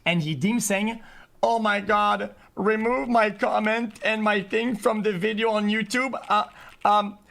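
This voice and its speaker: A man's voice: high-pitched, fairly even intonation, measured pace, vocal fry, pushed and bright. A man livestreaming an unscripted, agitated rant to his chat, swearing often and stumbling over repeated words.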